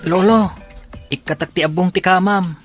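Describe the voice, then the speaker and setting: An actor playing a grateful, respectful young student grandson in a radio drama, with a Filipino accent. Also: male voice, high-pitched, fairly even intonation, moderate pace, faint music behind. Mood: earnest. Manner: respectful, earnest.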